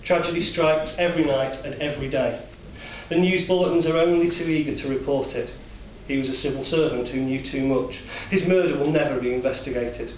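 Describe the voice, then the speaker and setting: A man with a Northern English accent narrating a dark story in a measured, sombre way. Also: fairly high pitch. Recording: distant, roomy, dull recording with faint hiss.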